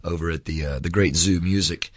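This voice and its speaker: A hoarse man, hosting a podcast from a recording studio in a lively, mock-grandiose, folksy way. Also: low voice, fairly quick, no room sound, highs cut off. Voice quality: raspy. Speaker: podcast host. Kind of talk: casual chat.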